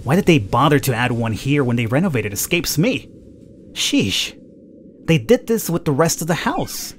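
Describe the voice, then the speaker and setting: A man hosting a gaming video, reading a horror visual novel aloud as expressive, dramatic first-person narration. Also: a little high, moderate pace. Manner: expressive, acts out the heroine's thoughts. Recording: clear background noise, game ambience.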